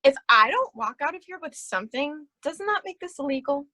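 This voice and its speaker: A woman's voice: high-pitched, animated, a little fast, a loud crowd. An actress in a staged reading playing an aggrieved, suspicious woman, insistent and argumentative. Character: aggrieved, suspicious woman accusing a scheme of cheating her.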